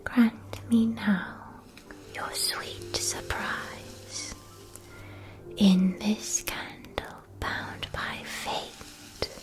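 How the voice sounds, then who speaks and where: A kindly witch shopkeeper, played by a woman in an ASMR fantasy roleplay. She speaks in a soft whisper at a very unhurried pace, with a gentle, nurturing and mystical air. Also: mid-range voice. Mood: solemn incantation.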